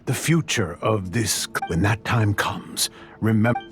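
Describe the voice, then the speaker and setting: An actor playing a solemn, kindly old samurai grandfather in a video game, with a gravelly voice and slow, deliberate delivery. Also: lowish voice, close to an everyday voice. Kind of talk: scripted game voice acting. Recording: game dialogue over clear music. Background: music.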